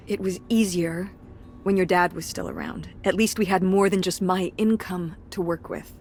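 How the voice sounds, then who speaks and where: A woman's voice: fairly low pitch, lively intonation, normal speed, a little mellow. A woman playing a weary, exasperated middle-aged mother in a video game, speaking naturalistically and defensively, with some hesitation. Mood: bitter, weary. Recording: clear background ambience noise.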